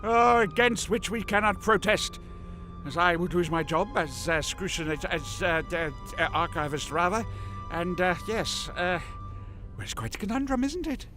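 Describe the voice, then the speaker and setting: An actor playing a flustered young Time Lord with an old man's manner, halting and fussy in an audio drama. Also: high-pitched, lively intonation, over music. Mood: dismayed, dithering. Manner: hesitant, halting, fussy, adding "hmm?" and "my dear".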